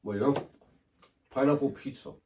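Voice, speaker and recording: A man hosting a casual podcast, hoarse from a cold, loose and slangy, repeating himself as he talks. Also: medium pitch, normal speed, mellow. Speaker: podcast host. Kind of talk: casual chat. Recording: distant mic with faint room noise.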